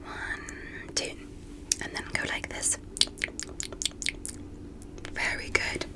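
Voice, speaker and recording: A gentle, curious female alien doctor played by a woman for an ASMR medical roleplay. She whispers throughout at a very slow pace. Her manner is soothing, formally polite and encouraging, as if guiding a human patient through an exam. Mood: calm, attentive.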